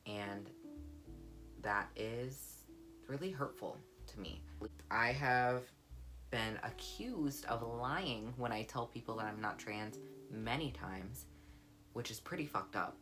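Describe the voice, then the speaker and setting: A young woman with a deep, warm voice, speaking candidly and reflectively in a personal vlog. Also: slow pace. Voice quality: deep, low voice; warm, comforting. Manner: candid, reflective, soft-spoken. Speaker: vlogger. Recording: guitar music playing underneath. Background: guitar music.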